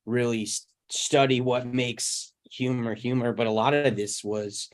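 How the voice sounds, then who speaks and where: A man with an average-pitched voice talks in a casual group discussion. He is thoughtful and a little halting as he builds his point, often checking that others follow. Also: fairly even intonation. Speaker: panel participant.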